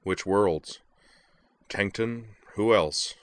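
A man voicing a big, affable young male student who speaks in a low, easygoing rumble. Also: very slow. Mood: affable, concerned.

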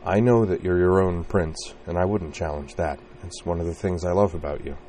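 Male reader voicing an earnest devoted young knight, deep and soft-spoken. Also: fairly even intonation, normal speed, a little hiss or hum. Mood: tender, earnest. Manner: soft, earnest, low-key delivery.

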